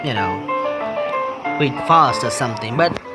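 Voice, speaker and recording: Slow talking guy doing a game playthrough, kinda jittery, reads the text out loud, music behind him. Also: medium pitch, animated, poor audio. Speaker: gaming video host. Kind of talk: playthrough commentary, reading game text aloud.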